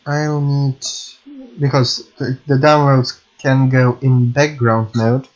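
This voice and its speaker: A man's voice: medium pitch, highs cut off. A man, thinking aloud while he records himself programming at his home computer, hesitant and self-correcting, often apologizing. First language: Russian. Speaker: hobby game programmer.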